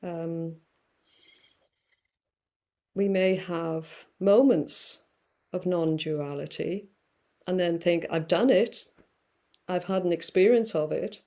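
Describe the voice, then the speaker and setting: A woman guest musing through spiritual ideas, with many fillers and pauses. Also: on the low side, mellow. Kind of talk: reflective spiritual talk.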